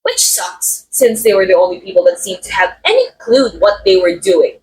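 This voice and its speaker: A woman's voice: medium pitch, big pitch swings, moderate pace, a little hiss or hum, poor audio. A woman acting as a haughty, catty film actress in an audio roleplay, with a theatrical, sardonic and condescending delivery. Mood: exasperated, scornful. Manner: theatrical, sardonic, drawling some words for emphasis. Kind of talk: ASMR roleplay monologue, voice acting.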